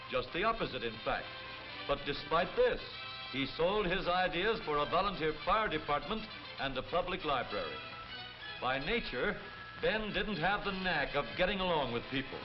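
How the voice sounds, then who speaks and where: A man narrating a 1930s promotional film in a declarative, oratorical style. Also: on the high side. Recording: dull vintage 1930s film soundtrack. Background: loud background music.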